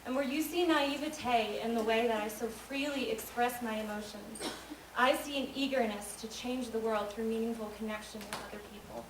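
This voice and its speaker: A young woman from the United States giving an earnest, measured spoken-word monologue on a school stage. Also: fairly high pitch, fairly even intonation. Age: young adult. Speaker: student speaker. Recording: echoey hall recorded from far off, noisy.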